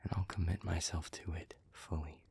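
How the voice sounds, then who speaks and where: A man playing an anguished, protective demon pleading with a former lover in an intimate audio roleplay, husky and breathy, halting and stammering in his earnestness. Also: deep voice, fairly even intonation, a little mellow, slight background noise. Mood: solemn, hesitant.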